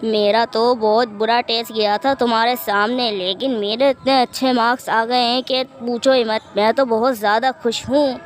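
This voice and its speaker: Brief: woman doing a lazy student girl in a storybook tale, offhand and casual, slightly high voice. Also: steady tone, moderate pace, hardly any pauses, close to an everyday voice. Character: carefree lazy female student.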